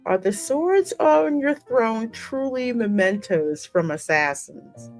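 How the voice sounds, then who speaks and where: A woman's voice: fairly high pitch, animated, takes it slowly, a little mellow, voice pushed up. A woman voicing an earnest, wary young heroine with lost memories in a story game. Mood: wary, polite. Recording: music running behind the voice.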